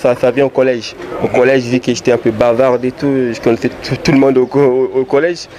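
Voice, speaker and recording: A man's voice: mid-range voice, steady tone, brisk, a little mellow, rough recording. A man from Congo, a guest rapper, talking in a casual outdoor interview, often repeating and restarting his words. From Democratic Republic of the Congo. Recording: outdoor street noise.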